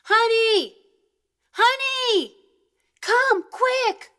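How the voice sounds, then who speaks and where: A woman's voice: very high-pitched, extremely slow, pushed and bright, heavily acted. A woman playing a distraught middle-aged mother in an audio drama, urgent and emotional. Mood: alarmed, distressed.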